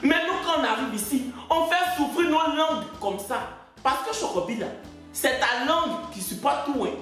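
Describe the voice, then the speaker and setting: A man's voice: very high-pitched, expressive, normal speed, pushed and bright. A man from West Africa, delivering a stand-up comedy monologue in an indignant, mocking way and drawing out his punchlines. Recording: very echoey room, faint music.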